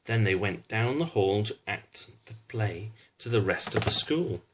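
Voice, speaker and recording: A man's voice: fairly low pitch, expressive, a little slow, sharp tone, slight background noise. A man reads a children's storybook aloud expressively, adding informal explanatory asides for a young listener. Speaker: children's book reader.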